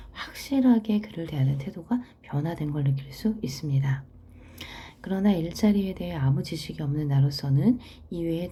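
A woman teaching literature, reading a story aloud and explaining it in a soft, half-whispered delivery. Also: fairly low pitch, slightly rough.

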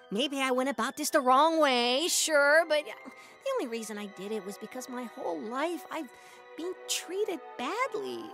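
A very high-voiced little villain creature for a game cartoon. He is petulant, boastful and theatrical, with lively swoops and drawn-out pacing. Music plays clearly behind him. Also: heavily acted. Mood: aggrieved, self-pitying. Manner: whiny, theatrical, self-justifying. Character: small, self-pitying, boastful male villain creature, petulant and scheming. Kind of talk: animated game cutscene acting.

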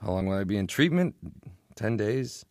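An actor playing a weary, evasive addicted husband in a radio drama. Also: male voice, on the low side, animated, normal speed, darkish tone, no echo, clean studio sound. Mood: uneasy.

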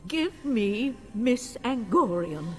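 An actress playing a blunt, determined heroine in a radio drama, forthright and expressive. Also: female voice, a little high, slow pace. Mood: defiant. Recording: music under the dialogue.

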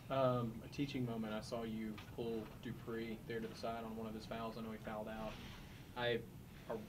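A man's voice: fairly low pitch, flat delivery, moderate pace, noisy background. A man reporter asking a player questions at a post-game press conference, rambling and restarting his questions. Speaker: sports reporter.

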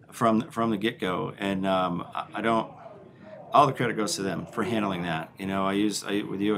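Low-voiced coach at a press table. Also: male voice, a bit bright, a little hiss or hum. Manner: frank, repeats words, many "you know"s. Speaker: head volleyball coach. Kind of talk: postgame press conference answers. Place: press room, seated at a table. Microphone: table mic on a stand.

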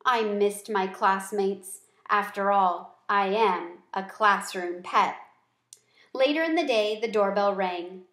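Slow-paced woman reading aloud, roomy sound. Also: medium pitch, fairly bright tone. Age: middle-aged. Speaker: read-aloud storyteller. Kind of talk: expressive children's book read-aloud. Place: small room at home. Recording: roomy home recording.

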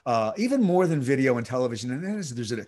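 A man's voice: mid-range voice, big pitch swings, fast talker, darkish tone. Middle-aged man explaining podcasting on a call. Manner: fluent, explanatory, with frequent restarts and fillers. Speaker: podcast guest, audio producer. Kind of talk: conversational interview over video call.

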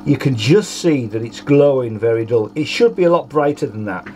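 A man in his sixties with a husky voice gives an informal hands-on demonstration, explaining hesitantly as he thinks aloud. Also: mid-range voice, lively intonation, normal speed, darkish tone, a little hiss or hum. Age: 60s. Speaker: vlogger demonstrating vintage audio gear. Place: small home studio with foam panels, indoors.

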